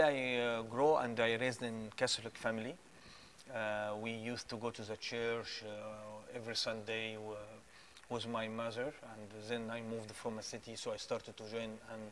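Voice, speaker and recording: Man in his 40s, crisp bright tone, halting phrasing, lapel mic, faint hiss behind. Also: lowish voice. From Egypt. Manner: hesitant, many "uh" fillers, non-native phrasing. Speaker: guest. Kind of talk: testimony interview. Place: small studio set with plants.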